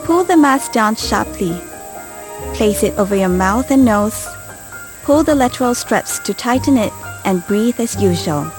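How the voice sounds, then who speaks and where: A woman giving a scripted safety briefing in a clear, calm instructional way, recorded as a studio voice-over. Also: a little high, unhurried. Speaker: safety video narrator. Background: music bed.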